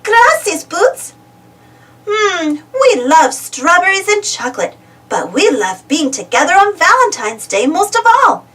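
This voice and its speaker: A woman's voice: extremely high pitch, big pitch swings, unhurried, a bit bright, distant mic, exaggerated voice. A woman voicing a cheerful, eager little girl adventurer in a children's storybook, exclaiming and putting questions to the listener. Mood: happy. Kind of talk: children's storybook read-aloud.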